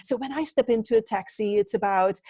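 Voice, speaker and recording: Woman from denmark talking earnestly on a video call, mellow and dry. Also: on the high side, brisk, dry recording. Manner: earnest, thoughtful, light laughs and fillers. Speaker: interview guest, training consultant. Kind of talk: casual interview answers.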